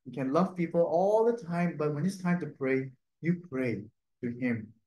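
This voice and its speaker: A man, a priest and native Cantonese speaker, giving a gentle, conversational reflection on the Sunday readings, often adding a questioning "no?". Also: on the high side, lively intonation, normal speed. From Cantonese-speaking region. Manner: gentle, conversational, tag "no?" and soft laughs. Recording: echoey room.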